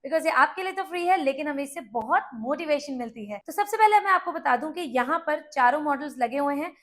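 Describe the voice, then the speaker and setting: High-pitched Indian woman presenting products. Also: a bit bright. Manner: explanatory, mixes English words into speech. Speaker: product review host. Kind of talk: casual product comparison presentation.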